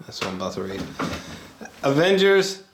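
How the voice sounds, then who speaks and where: A man chatting casually and without a script as a movie reviewer, rambling, excitable and swearing freely. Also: medium pitch, normal speed, slight background noise. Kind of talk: casual unscripted chat review. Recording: roomy echo, distant mic.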